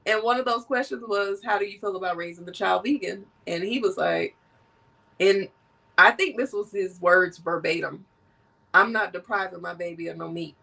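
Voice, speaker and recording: Woman host with a cold, nasal, lively and laughing, heard a little off-mic. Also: medium pitch, normal speed, gravelly, distant mic. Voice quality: animated and laughing often. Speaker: podcast host. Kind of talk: casual conversation.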